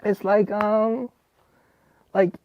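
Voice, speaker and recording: A man in his thirties, a podcast guest, speaking in a halting, hesitant way with many restarts. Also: high-pitched, little pitch movement, dry recording, dull audio. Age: 30s. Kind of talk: casual chat.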